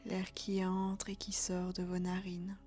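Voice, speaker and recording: A woman leading a guided relaxation as a yoga instructor, speaking softly and calmly with breathy, near-whispered phrases. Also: on the low side, takes it slowly. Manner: soft, calm, soothing delivery with breathy, near-whispered phrases. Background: background music.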